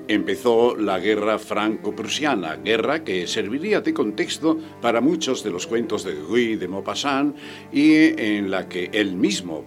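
A man announcing a radio theatre piece, reading a scripted introduction in a presenter's style. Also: lowish voice. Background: music.

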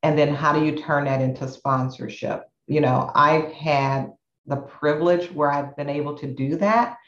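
A woman co-hosting an education podcast, under the weather and sounding deeper than usual, chatting in an encouraging, conversational way. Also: steady tone, normal speed. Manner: encouraging, conversational with "you know" asides. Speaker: podcast co-host, education professor. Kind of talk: casual podcast chat. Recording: roomy, dull lo-fi sound.

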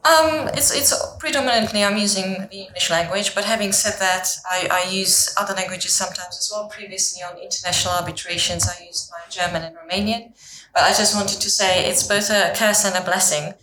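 Czech-born woman, bright roomy voice. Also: mid-range voice, brisk, a little hiss or hum. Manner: light, self-deprecating, laughs easily. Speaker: guest, senior associate lawyer. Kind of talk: casual podcast chat.

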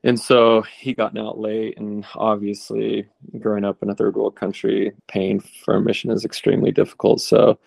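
A man in his late thirties, a returned missionary, telling stories in a relaxed, rambling interview style. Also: fairly low pitch, moderate pace, gravelly, few pauses, husky, no room sound, poor audio. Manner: relaxed, rambling with fillers and restarts. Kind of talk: conversational interview storytelling.

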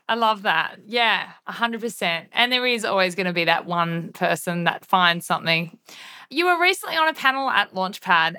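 A woman's voice: medium pitch, pushed and bright, smooth. A woman hosting a podcast interview, enthusiastic and affirming as she asks questions. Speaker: podcast host. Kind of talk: casual interview talk.

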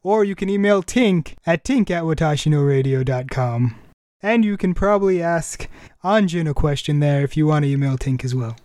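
A man's voice: on the high side, moderate pace, darkish tone, no room sound, clean studio sound. A man hosting a casual podcast, chatting informally and a little hesitant when he improvises. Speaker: podcast host.

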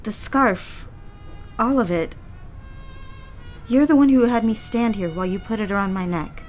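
Woman acting young female secretary, medium pitch, nervous, halting, deferential, period office drama, light music underneath. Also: a little mellow, close to an everyday voice. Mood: flustered, hurt. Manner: halting, flustered, apologetic and deferential. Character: anxious, eager young secretary, engaged to be married.

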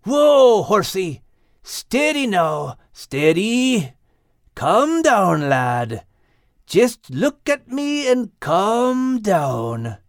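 Feisty little Scottish terrier, pitched up. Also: male voice, very animated, extremely slow, no room sound, studio quality, heavily acted. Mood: firm, steadying. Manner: commanding, exclamatory. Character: small feisty male Scottish terrier dog, bold, protective. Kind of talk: audiobook character acting.

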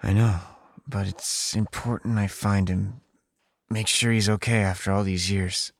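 A man's voice: on the low side, steady tone, unhurried, no room sound, studio quality. A man with an American accent playing a guarded, secretive, somewhat hesitant man searching for an old friend in a naturalistic audio drama. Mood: careful, earnest. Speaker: narrator and lead character. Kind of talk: naturalistic audio drama acting with inner asides.

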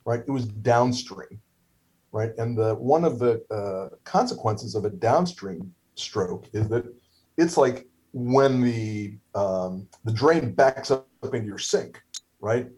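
A man's voice: medium pitch, slow pace, darkish tone, slightly rough, low-quality recording. A middle-aged man whose first language is Hebrew, telling a story casually with many fillers, restarts and wry humor. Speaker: guest, stroke survivor.

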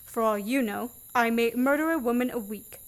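Young woman playing a sardonic, bossy fugitive man, high voice. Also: moderate pace, lightly acted. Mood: mocking, menacing. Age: young adult. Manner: sardonic, commanding. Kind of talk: student radio play acting.

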